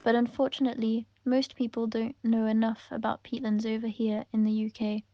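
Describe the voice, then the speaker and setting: A woman reading interview answers aloud in the first person, in an even, gentle and unhurried way. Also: on the high side, dry recording, lo-fi sound.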